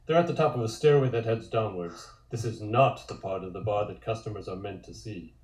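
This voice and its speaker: A man narrating a scripted comic table read in measured, descriptive storytelling, with echoey room sound. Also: lowish voice, darkish tone.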